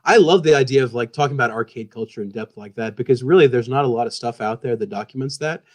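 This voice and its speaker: A man's voice: medium pitch, quick pace. A man in his thirties, born in the US and raised in Pakistan, chatting enthusiastically as a podcast guest with many fillers. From born in the US, grew up in Pakistan. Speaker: guest author. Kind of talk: casual podcast chat.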